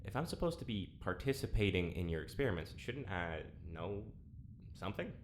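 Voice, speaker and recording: A man's voice: sits low, very animated, brisk, slight background noise. A man playing an irritable, sarcastic, stubborn prisoner and test subject in an audio drama, speaking casually and hesitantly. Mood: confused, questioning. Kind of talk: naturalistic audio drama acting.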